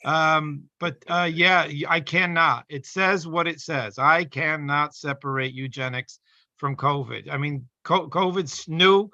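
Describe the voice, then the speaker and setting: A middle-aged man talking casually and earnestly as an open mic poet, rambling and halting as he explains himself. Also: on the high side, sharp tone, no room sound. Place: online video call. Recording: dull lo-fi call audio.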